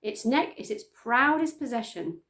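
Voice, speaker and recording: A middle-aged woman reading a children's story aloud with expressive storytelling delivery. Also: a little high, unhurried. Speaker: storytime reader. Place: small plain room indoors, on a sofa.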